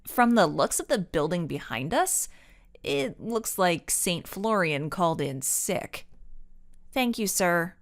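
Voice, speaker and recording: Earnest storybook girl, acted by a woman, insistent and questioning, animated pitch, careful diction. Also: takes it slowly. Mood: wry. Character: earnest, insistent young adventurous girl Ava.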